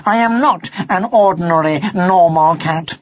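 A man voicing an eager, earnest and excitable young male cat in a children's storybook. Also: very high-pitched, slow pace, muffled recording, slight background noise, voice pushed up, full character voice. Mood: firm.

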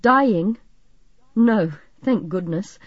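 A woman's voice: medium pitch, lively intonation, drawn-out words, husky, no echo, dull audio, full character voice. A woman voicing a worried, polite young man in an audiobook, with a hesitant, earnest manner.

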